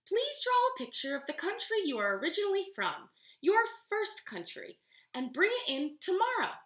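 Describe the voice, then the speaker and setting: A woman's voice: extremely high pitch, wildly swooping pitch, moderate pace, a bit bright, pitched above the performer's own voice, exaggerated voice. A woman reading a storybook, voicing a kindly, encouraging female schoolteacher. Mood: instructing. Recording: roomy, echoey room sound.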